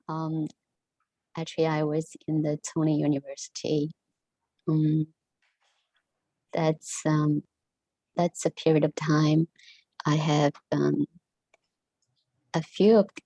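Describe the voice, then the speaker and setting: A woman whose first language is Mandarin gives a halting talk to an online Buddhist study group, full of pauses and fillers. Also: low voice, warm tone, no room sound, poor audio. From Taiwan. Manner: halting, many ums and restarts. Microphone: video call.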